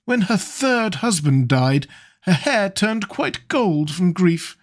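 A man voicing a witty, cynical aristocratic gentleman with a languid drawl. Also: sits high, sing-song, slow pace, pitched above the performer's own voice, full character voice.

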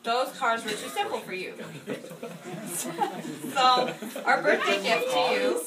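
A woman's voice: on the high side, very animated, takes it slowly, over a noisy crowd. A woman reading a speech aloud at a party, with casual asides. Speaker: toast reader at a birthday party. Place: indoor house party in a kitchen. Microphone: handheld phone camera a few feet away. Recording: noisy party, phone mic at a distance.

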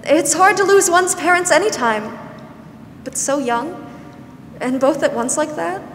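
A woman's voice: high voice, a little slow. A woman acting a kindly, patient older country spinster in a 1940s-style radio drama. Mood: chatty. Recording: roomy stage hall, faint noise.

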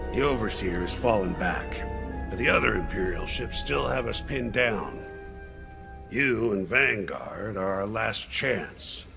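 A man voicing an older fish-like alien officer, solemn and steadfast, speaking slowly and weightily with a gravelly voice in a video game. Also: low voice, exaggerated voice. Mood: solemn, hopeful. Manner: slow, emphatic, weighty. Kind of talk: video game cutscene dialogue.

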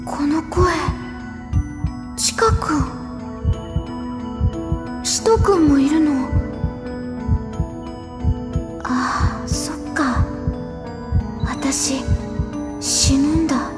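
Timid, apologetic schoolgirl for anime audio drama; very high, hesitant delivery over prominent music. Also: very slow, long pauses. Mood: dazed, sombre. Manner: hesitant, stammering, meek.

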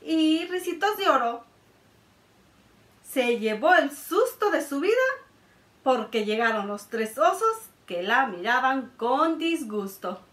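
A woman in her thirties reading a picture book aloud to children, expressive and sing-song. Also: high-pitched, takes it slowly. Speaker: children's librarian storyteller. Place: indoor room by a felt board. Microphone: camera a few feet away. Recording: roomy sound from a distance.

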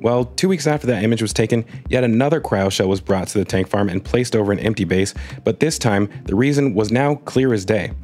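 A man's voice: fairly low pitch, brisk, few pauses, a little mellow, faint music behind. A man narrating a scripted space news video, telling the story with wry comic asides.

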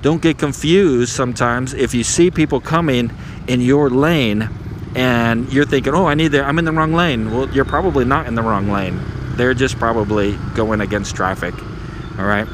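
Easygoing middle-aged man, casual tips from the saddle, clear engine and street noise around him. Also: mid-range voice, moderate pace. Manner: easygoing, chatty, lots of "right?" tags. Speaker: motovlogger. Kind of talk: casual how-to narration while riding. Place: on a motorcycle in city traffic outdoors. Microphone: helmet or handlebar action camera.

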